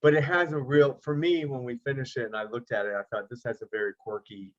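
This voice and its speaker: A man's voice: medium pitch, steady tone, fast. A middle-aged man, an actor and producer, chatting easily as an interview guest over a video call, with self-deprecating jokes. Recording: slightly dull lo-fi audio.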